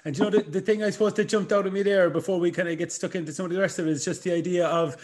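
Male host, brisk rambling questioner, slightly raised pitch. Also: fairly even intonation, a little mellow. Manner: rambling, rephrases his questions. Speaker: podcast host. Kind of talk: casual podcast conversation.

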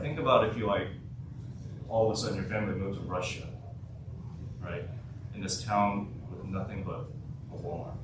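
A man in his twenties from South Korea, a native Korean speaker, talks to a youth group in a loose, chatty way and keeps checking in with them. His voice sits a little low and reaches the mic from some distance. The large hall around him rings with strong echo, and a clear room hum runs underneath. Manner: conversational, asks the audience many questions. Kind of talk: youth workshop talk. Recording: echoey hall, voice from far off.

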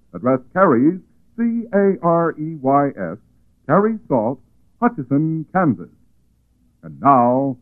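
An American man working as a radio announcer, reading a 1940s advertisement as an excited, emphatic sales pitch. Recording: tinny narrow-band 1940s radio recording.

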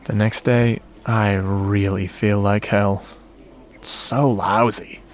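A slow-talking, confiding lovestruck audio geek. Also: male voice, lowish voice, level tone. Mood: dejected, restless. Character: lovestruck, self-doubting male audio geek telling his romance. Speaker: narrator and lead actor. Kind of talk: first-person radio drama narration.

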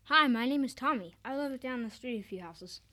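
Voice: high voice, expressive, a little fast, pushed and bright, no room sound. A performer playing an eager, oblivious little boy in an amateur comic radio play, cheerfully persistent. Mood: eager, proud.

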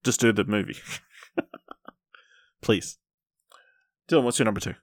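A man's voice: fairly low pitch, measured pace, dry recording, studio quality. A man hosting a podcast in casual, informal chat, steering the discussion along. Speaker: podcast host.

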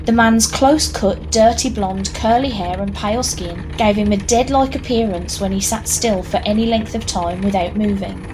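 A woman narrating a scripted audio drama in a measured storytelling manner. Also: medium pitch. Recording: clear background noise, ambience.

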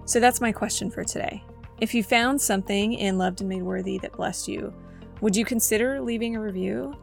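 A woman's voice: medium pitch, a little fast, creaky, faint music behind. A woman with a scratchy voice hosting a faith podcast, reading her own story in an earnest, reflective manner from a script. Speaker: podcast host. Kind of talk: scripted devotional podcast narration.

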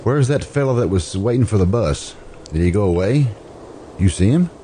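A man's voice: on the low side, expressive, normal speed, noisy background, lightly acted. An actor playing a blunt, folksy, inquisitive man in an old-time radio drama, a little impatient. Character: blunt, inquisitive, impatient listener awaiting a bus.

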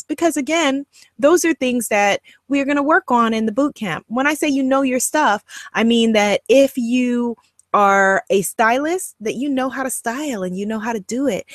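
A middle-aged woman from Guyana teaches an online course, her Guyanese accent light but present. She talks slowly and deliberately, leaning into words with upbeat emphasis. The sound is close and dry, with no room around it. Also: on the high side, dry recording. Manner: upbeat, animated, emphatic and encouraging. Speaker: online course host and coach. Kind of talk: direct-to-camera e-course lesson.

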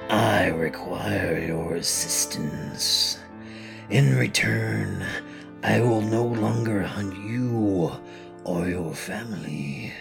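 A man voicing a monstrous earwig creature with a face inside it, speaking in a deep, buzzy hiss, slow and cold as it bargains. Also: vocal fry, music in the background, full character voice. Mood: menacing. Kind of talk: horror story narration.

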